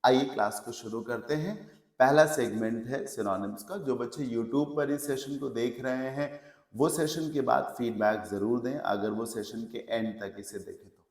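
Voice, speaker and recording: A male English teacher talks through vocabulary at an unhurried pace, explaining in Hindi mixed with English words and piling up synonyms. He often checks that his students are following. His voice comes from a little way off the mic and echoes around the room. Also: medium pitch, recorded from a distance. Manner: checks in with the class. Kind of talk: online vocabulary lesson.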